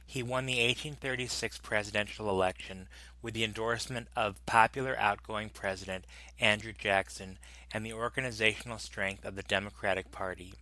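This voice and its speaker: A man reading an encyclopedia article aloud in a steady, plain narration that sometimes stumbles and corrects itself. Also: fairly low pitch, no room sound, poor audio. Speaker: volunteer reader of an encyclopedia article.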